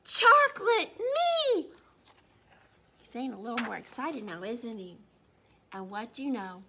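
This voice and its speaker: A woman's voice: mid-range voice, moderate pace, gravelly, background noise. A woman in her sixties reading aloud to children at storytime, expressively, putting questions to them as she goes. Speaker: children's librarian storytime host. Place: small indoor room.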